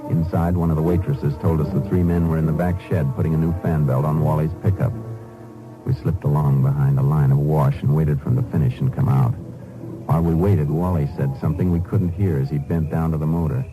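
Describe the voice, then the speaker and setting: An actor playing a calm, commanding Texas Ranger who investigates and narrates in a terse, dry, authoritative way in a 1950s radio crime drama. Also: male voice, very deep voice, a little fast, music in the background, poor audio. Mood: matter-of-fact. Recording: old 1950s radio recording.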